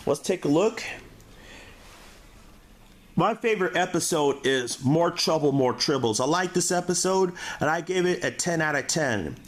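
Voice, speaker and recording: A man with a slightly high-pitched voice presents a homemade-style, off-the-cuff review. His delivery is halting, and he often trips over words and starts them again. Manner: halting, stumbling over words when reading aloud. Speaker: DVD reviewer, show host. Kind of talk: casual unscripted video review.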